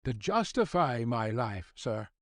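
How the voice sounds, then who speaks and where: A man's voice: mid-range voice, very animated, slow pace, fairly bright tone, dry recording, clean studio sound, voice pushed down, exaggerated voice. A man playing an ardent young newspaper reporter in his twenties, polite and earnest, in an audiobook. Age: 20s. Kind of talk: audiobook character dialogue.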